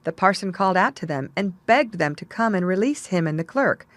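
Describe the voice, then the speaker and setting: A woman's voice: lowish voice, expressive, a little fast, no room sound. A woman reading a fairy tale as a storyteller, in scripted narration.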